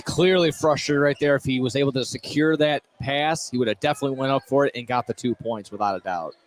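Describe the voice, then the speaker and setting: A man's voice: mid-range voice, fast. A man giving casual color commentary and analysis during a live basketball broadcast. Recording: noisy live gym broadcast.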